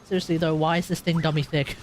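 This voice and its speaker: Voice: medium pitch, flat delivery, fast. A streamer with an Australian accent giving casual, rambling, jokey live commentary on a game. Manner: rambling, jokey, easily amused.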